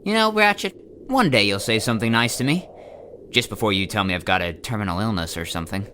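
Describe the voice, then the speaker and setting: A man playing an earnest, touchy robot warrior in an audio drama, with a smooth-talking, slightly wry manner. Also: lowish voice, lively intonation, fast talker, bright, forceful tone, a little hiss or hum. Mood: affronted.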